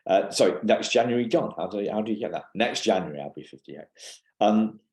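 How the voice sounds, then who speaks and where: A man in his fifties hosting a podcast interview, asking questions in a halting way with many restarts. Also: fairly low pitch, animated, darkish tone, roomy sound. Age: 50s. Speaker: podcast host.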